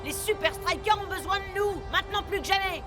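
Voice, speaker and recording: High, bossy young female fan. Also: a little fast. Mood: exasperated. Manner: scolding, sardonic. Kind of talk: animated cartoon dub acting. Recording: loud music and crowd behind.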